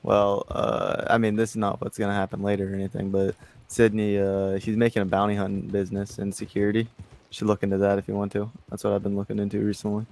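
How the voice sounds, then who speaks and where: A man's voice: on the low side, completely flat delivery, fast, creaky, few pauses, a little mellow. A man playing a laid-back, cagey Wild West outlaw in an online roleplay game, talking in a folksy, hesitant way. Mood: cagey, friendly. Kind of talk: improvised in-character game chat.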